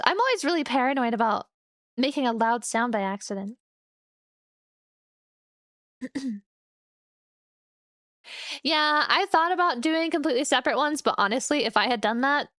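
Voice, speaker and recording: A young adult woman streamer chatting casually with her viewers, relaxed and chatty, with frequent giggles. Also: a little high, no echo, clean studio sound. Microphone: studio microphone.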